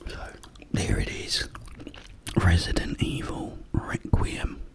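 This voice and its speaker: A man whispering up close in an unhurried ASMR ramble, with breathy delivery and hesitant pauses. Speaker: ASMR host. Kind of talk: ASMR whispered ramble about a video game. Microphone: close-up microphone.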